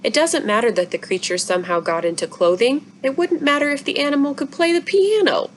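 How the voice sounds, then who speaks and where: A woman's voice: high-pitched, voice pushed up, lightly acted. Woman reading as a firm, practical storybook dad. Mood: stern. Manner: firm, explanatory, measured. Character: stern, practical father trying to keep calm. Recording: noisy room recording.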